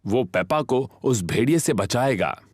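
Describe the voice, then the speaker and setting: A man's voice: mid-range voice, lively intonation, measured pace, breathy edge, no echo, studio quality, soft background music. A man playing a friendly storybook narrator in a children's cartoon, reading scripted narration. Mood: storytelling.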